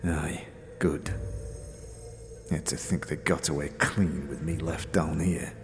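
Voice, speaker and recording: Talking corpse of a bitter thief: deep, gruff, slangy, boastful, slow-paced, for fantasy audio drama. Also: male voice, steady tone. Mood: bitter, grumbling. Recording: long reverberant echo, music underneath.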